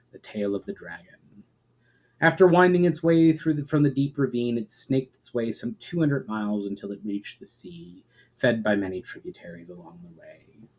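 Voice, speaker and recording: A man reading aloud as an author and host, with expressive, measured phrasing that falls away softly at the ends of sentences. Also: medium pitch, low-quality recording. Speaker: author and channel host reading his own book. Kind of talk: dramatic storybook reading of fantasy prose.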